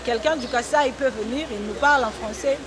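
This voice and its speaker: A woman's voice: fairly high pitch, a little fast, crowd noise. A woman gospel singer who grew up on the Congo-Zambia border, giving earnest, testimonial answers in a talk show interview with hesitant restarts. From Congo-Zambia border region. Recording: noisy broadcast recording.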